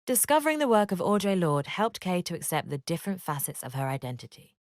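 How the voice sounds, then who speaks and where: A woman giving scripted educational narration in a measured, expressive read-aloud manner. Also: lowish voice, no room sound, clean studio sound.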